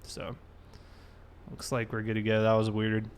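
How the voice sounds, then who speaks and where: A man's voice: fairly low pitch, monotone, unhurried, a little hiss or hum. A man hosting a casual game livestream, thinking aloud and talking informally to his viewers. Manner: informal, thinking aloud while reading chat. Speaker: live streamer, host.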